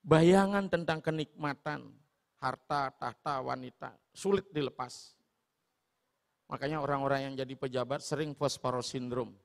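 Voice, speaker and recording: A man with a Sulawesi accent giving an animated storytelling sermon in a large hall. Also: medium pitch, moderate pace, vocal fry. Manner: animated, switching into voices. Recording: long hall reverb.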